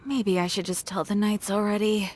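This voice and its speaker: A woman playing a composed shopkeeper in a video game, talking in a measured, matter-of-fact way. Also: mid-range voice, level tone, a little mellow, lightly acted. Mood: worried. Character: composed female shopkeeper of exotic goods. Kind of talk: scripted video game character acting. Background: background music.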